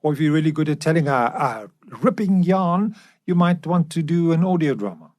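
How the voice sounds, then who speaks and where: A man's voice: fairly high pitch, animated, moderate pace, a little mellow. A man hosting a podcast in a casual, conversational style. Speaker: podcast host.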